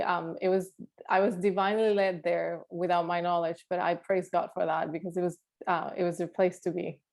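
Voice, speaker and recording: A woman's voice: lowish voice, a little mellow. A woman in her thirties from Puerto Rico, an architect talking about her life on a video call, laughing often and using many fillers. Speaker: guest alumna, architect.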